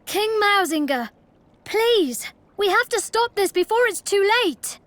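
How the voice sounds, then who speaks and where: A woman's voice: extremely high pitch, expressive, unhurried, fairly bright tone, no echo, full character voice. A woman voicing an earnest young boy king in a video game. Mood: pleading.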